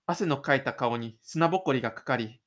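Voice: medium pitch, fairly quick. A narrator reading scripted third-person storytelling for an audio drama.

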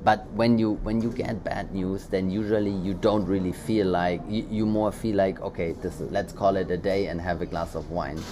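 A man's voice: low voice, fairly even intonation, moderate pace, hardly any pauses. A man whose first language is German, co-hosting a casual motivational podcast and talking in loose, rambling sentences full of restarts. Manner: rambling, many restarts and fillers. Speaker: podcast co-host. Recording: background noise.

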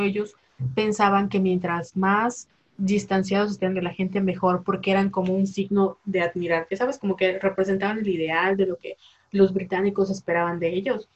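A woman's voice: medium pitch, flat delivery, normal speed, dull audio. A woman in her twenties with a Mexican accent, chatting casually and rambling, full of slang and fillers. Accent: Mexican Spanish. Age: 20s. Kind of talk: casual chat.

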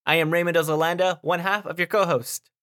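A man's voice: a little high, steady tone, brisk, a bit bright. A man co-hosting a podcast, opening the show and chatting casually with an easy, amused manner. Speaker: podcast co-host. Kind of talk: casual chat.

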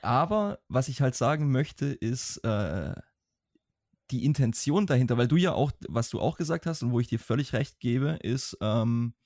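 A young man with a Swabian accent talks casually on a podcast, rambling along and often restarting his sentences. His voice sits at a middling pitch and is recorded dry and close, with no room sound. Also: animated, normal speed, darkish tone, no room sound. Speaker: podcast co-host.

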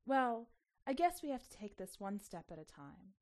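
A woman voicing an anxious mother who coaxes her child with expressive exasperation, in a storybook reading. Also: a little high, moderate pace. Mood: encouraging.

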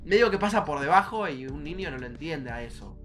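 Higher-voiced young Argentine man. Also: expressive, fairly quick, fairly bright tone, soft background music. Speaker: podcast host. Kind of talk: casual podcast chat.

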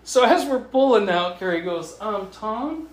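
A man's voice: sits high, measured pace. Man telling a funny anecdote in an echoey room. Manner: animated storytelling, acting out dialogue, chuckling often. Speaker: writer telling a travel story to a writers' group. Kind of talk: casual humorous anecdote. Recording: echoey room with faint noise.

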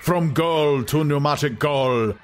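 A man voicing a grandiose older world leader in an audiobook, lecturing in measured oratory and savouring each list. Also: a little high, soft background music, voice pushed up. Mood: grandiose, rhapsodic. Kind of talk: dramatized audiobook acting.

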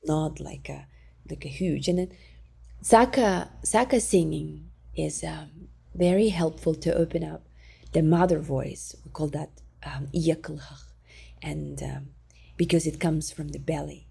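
A woman who teaches singing, talking in an interview in calm, gentle, halting non-native English with many fillers. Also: low-pitched, gravelly, slightly rough. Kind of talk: conversational interview.

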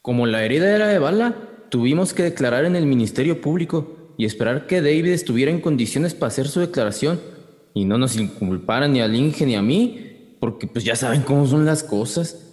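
A man's voice: medium pitch, animated, brisk, a little mellow, long reverb tail, natural voice. An actor playing a folksy, jocular rural man with a northern Mexican accent and wry, slangy talk in a radio drama. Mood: wry recounting. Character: folksy rural man narrating his misadventure, jocular, teasing. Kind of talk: radio drama narration and dialogue.

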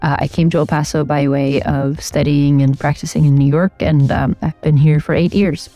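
A woman's voice: low-pitched, fairly even intonation, normal speed, warm tone, no echo, soft background music. A middle-aged woman from Albania, answering interview questions thoughtfully with many fillers and restarts. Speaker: architect and program director, guest.

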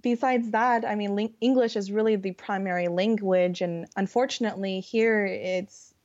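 A young woman with a Californian accent, a guest chatting casually, friendly and unhurried, with many fillers. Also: mid-range voice, fairly even intonation, a little mellow. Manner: friendly, fillers and laughs, deliberate. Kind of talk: casual conversation. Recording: dull lo-fi sound.

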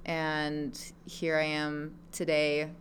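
A woman's voice: low voice, monotone, very slow, a little hiss or hum. A woman in her thirties from Hawaii chatting casually as a podcast guest, with a wry, self-mocking way of talking. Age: 30s.

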